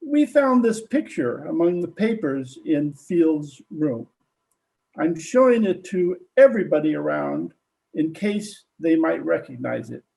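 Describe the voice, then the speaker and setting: Guy doing old radio cop sergeant, high creaky voice, slow, folksy n polite, kinda hesitant. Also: very animated, warm tone, heavily acted, rough recording. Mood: casual, probing. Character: plain-spoken, dogged police detective sergeant. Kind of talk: old-time radio drama acting.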